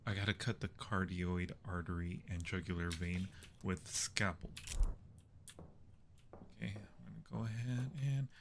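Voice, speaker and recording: A man's voice: deep voice, steady tone. A man hosting a horror game livestream, chatting casually and muttering his way through tasks. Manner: unhurried, muttering to himself and reading out tasks. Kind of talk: casual gaming commentary. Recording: clear background noise.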